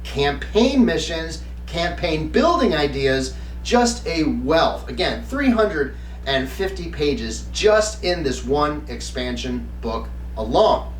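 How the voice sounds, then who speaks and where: A man's voice: a little high, big pitch swings, slow. A middle-aged man hosting a review in an earnest, emphatic way, speaking to camera in a semi-scripted style. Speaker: host, tabletop game reviewer. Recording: roomy, distant mic, faint background noise.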